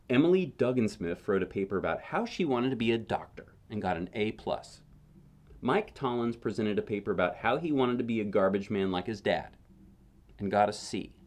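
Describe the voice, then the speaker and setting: Male storyteller, lowish voice with lively swings in pitch, bedtime picture-book read with musing, conversational asides. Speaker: dad reading a picture book aloud. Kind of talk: expressive bedtime story reading with casual asides.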